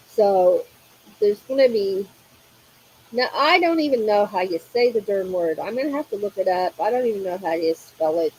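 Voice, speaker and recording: Slow, chatty woman host. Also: mid-range voice, animated, a little mellow, slight background noise, low-quality recording. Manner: slow, chatty, laughs often, many fillers. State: slightly hoarse from allergies. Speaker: crafting livestream host. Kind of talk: casual livestream chat, reading comments aloud.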